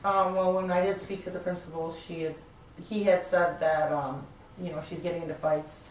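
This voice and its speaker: A woman playing a concerned, puzzled mother in a therapy role-play, talking in a plain, earnest, halting way. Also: on the low side, steady tone, a little mellow, lightly acted. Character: concerned, puzzled mother backing up her wife. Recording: echoey room, distant mic, faint noise.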